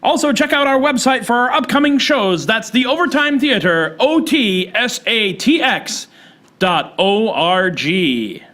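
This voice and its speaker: A man's voice: very high voice, lively intonation, unhurried, pushed and bright. A man working as an announcer, reading a sponsor message and introduction for a radio play. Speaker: announcer and writer.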